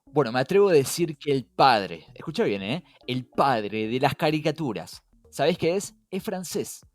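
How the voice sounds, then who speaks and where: Male podcast host, Rioplatense Spanish accent, animated intonation, engaging and questioning, chatty explainer over background music. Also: mid-range voice, normal speed, no echo. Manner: engaging, asks rhetorical questions. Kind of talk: casual conversational podcast explainer. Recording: music behind.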